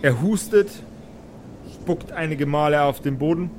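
A man narrating an improvised tabletop fantasy game as game master, in halting, deliberate phrases. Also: on the high side, a little hiss or hum. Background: background music.

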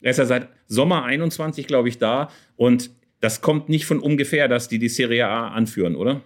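A man's voice: medium pitch, a little fast. A middle-aged man co-hosting a casual football discussion, explaining things earnestly and at length. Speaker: podcast co-host.

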